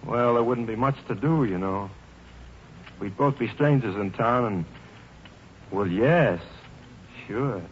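A young American soldier played by a man in an old radio play, earnest and polite, with a medium-pitched voice. He hesitates and repeats words. The sound is muffled, with faint noise. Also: takes it slowly, pauses often, close to an everyday voice. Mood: anxious, tender. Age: young adult. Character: polite, eager young soldier heading to see his girl. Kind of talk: old-time radio drama acting.

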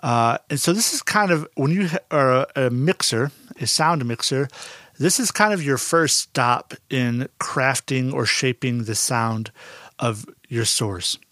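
A man with a naturally dark voice, giving a relaxed, conversational lesson with plenty of fillers and restarts. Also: mid-range voice, a little slow, dry recording, clean studio sound. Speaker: audio instructor. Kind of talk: casual explanatory lesson.

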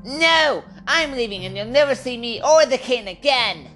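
A woman's voice: on the high side, lively intonation, normal speed, over music, natural voice. A woman voicing a grumpy, impatient elderly sister who snaps at her siblings and calls them names in an amateur radio drama. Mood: defiant. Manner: snappish, bossy, name-calling. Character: grumpy eighty-something sister, rude and impatient.

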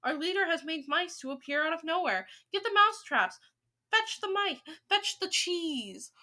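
Woman putting on shrill, excitable storybook witches. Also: unhurried, exaggerated voice. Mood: excited alarm. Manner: shrieking, exclamatory. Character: excitable, spiteful crowd of female witches.

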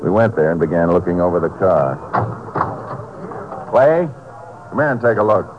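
An actor playing a steady, laconic American Texas Ranger in a 1950s radio drama, terse and matter-of-fact. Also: male voice, deep voice, moderate pace, background noise. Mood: businesslike. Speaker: lawman lead and narrator of radio drama. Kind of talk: 1950s radio acting, scripted narration. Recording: muffled 1950s radio recording.

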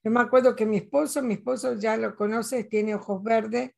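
A woman's voice: on the high side, fairly even intonation, normal speed, vocal fry, darkish tone. An older Latin American woman talking in a casual conversation, earnest and reflective, telling personal stories. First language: Spanish.

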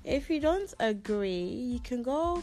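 A woman's voice: fairly high pitch, big pitch swings, slow, mellow. A woman in her twenties hosting a casual solo podcast in a sassy, slangy, theatrically complaining way, as if venting to her listeners. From Ghana, lived there six plus years. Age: 20s. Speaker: podcast host.

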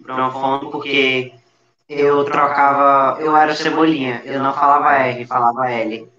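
A man's voice: mid-range voice, flat delivery, normal speed. Man, northeastern brazilian accent, teasing co-host, roomy and distant, dull sound, crowd noise. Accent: Northeastern Brazilian, from Ceará. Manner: teasing and joking. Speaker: podcast co-host. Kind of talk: casual podcast banter.

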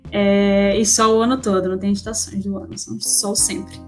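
Even-toned Northeastern Brazilian woman guest. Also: mid-range voice, normal speed, faint music behind. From Recife, northeastern Brazil. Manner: informal, slangy, frequent tag questions. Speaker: interview guest. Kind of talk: casual chat.

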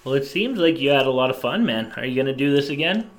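A man's voice: medium pitch, lively intonation, fast. A young man co-hosting a casual podcast, talking in an upbeat, joking way. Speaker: podcast co-host.

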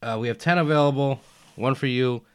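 A young man in casual conversation, emphatic and animated, dropping "man" and "dude" as he argues his point. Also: mid-range voice, brisk, clean tone. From United States, family from Albania. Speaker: podcast guest. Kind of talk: casual chat.

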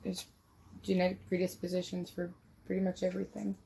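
A woman's voice: lowish voice, monotone, a little fast, vocal fry, mellow, a little hiss or hum, rough recording. A woman chatting casually as co-host, speaking in a matter-of-fact way. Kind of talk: casual chat.

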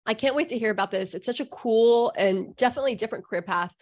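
A woman's voice: a little high, quick pace. A woman hosting a podcast, talking in a conversational interview style. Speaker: podcast host.

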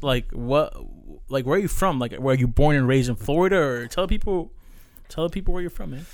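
A man's voice: medium pitch, clean tone, no room sound, studio quality. A man from California hosting a podcast in casual chat, loose and talkative, with lots of fillers and restarts. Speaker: podcast host.

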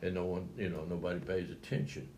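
An older man, a retired coach, talking in a rambling, halting way with many restarts. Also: low-pitched, fairly even intonation, a little mellow, slight background noise. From Texas. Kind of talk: reflective interview talk.

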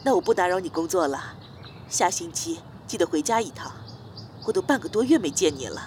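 Lowish-voiced, considerate mother acted by woman. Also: animated, brisk, darkish tone, a little hiss or hum, lightly acted. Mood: gentle, tactful. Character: gentle, considerate middle-aged mother. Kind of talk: audio drama dialogue.

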